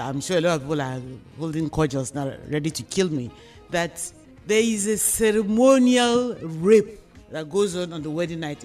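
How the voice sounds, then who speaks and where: Higher-voiced Nigerian man hosting a discussion. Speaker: discussion host. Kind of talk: radio discussion, posing questions.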